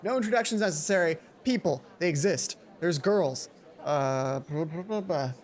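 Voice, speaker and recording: Let's play host, medium pitch, theatrical story reading broken by casual asides, music behind. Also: expressive, normal speed. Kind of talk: reading visual novel aloud with casual asides.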